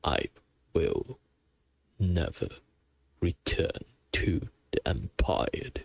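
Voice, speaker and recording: A man with a sore throat voicing a brooding, defiant male Sith warlord in a slow, solemn, deep delivery for voice acting practice. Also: wildly swooping pitch, gravelly, breathy edge, dry recording, heavily acted.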